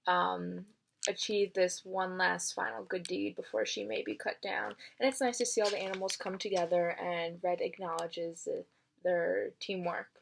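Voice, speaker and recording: A woman teacher giving a read-aloud lesson, narrating a children's novel in an expressive, instructive way. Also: lowish voice, vocal fry.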